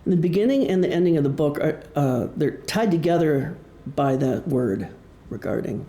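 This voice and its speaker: A man from Wisconsin with a Midwestern American accent giving an explanatory public talk as an author, with deliberate pauses. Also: on the high side, animated, dark tone. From Wisconsin, United States. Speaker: author presenting his novel. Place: indoor presentation room. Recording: echoey room.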